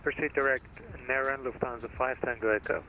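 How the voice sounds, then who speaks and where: A man's voice: mid-range voice, steady tone, fairly quick, bright, forceful tone. A man as an airline pilot making clipped calls over the radio. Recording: slightly dull radio sound.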